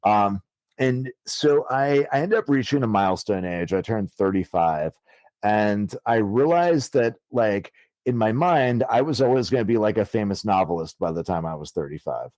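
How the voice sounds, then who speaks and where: A man's voice: fairly low pitch, expressive, normal speed, darkish tone, no echo. A man in his thirties, an author guest telling his story in a casual, rambling way with many fillers and easy swearing. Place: podcast studio.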